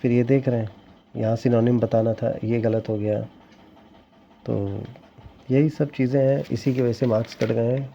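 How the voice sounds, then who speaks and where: A man's voice: medium pitch, steady tone, normal speed, gravelly, long pauses, mellow, slight background noise. A young man talking casually to his viewers, rambling with frequent restarts and sounding regretful. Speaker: teacher exam candidate sharing his scores. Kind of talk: casual vlog talk to viewers. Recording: dull lo-fi sound with faint ambience.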